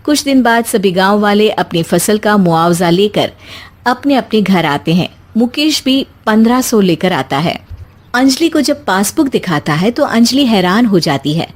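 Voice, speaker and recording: A woman's voice: mid-range voice, animated, measured pace. A woman storyteller reading a scripted moral tale as narration.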